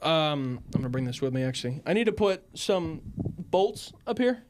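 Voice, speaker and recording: A man's voice: on the high side, very animated, moderate pace, background noise. A man casually hosting a sports quiz show with playful, joking banter. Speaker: host of a sports talk and game show.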